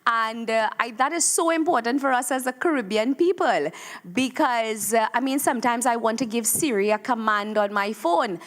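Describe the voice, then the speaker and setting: A woman with a Trinidadian accent, speaking as a government minister at a round-table conference, pausing often with fillers and restarts. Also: fairly high pitch. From Trinidad and Tobago. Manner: hesitant, many fillers and restarts. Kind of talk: formal remarks at a round table.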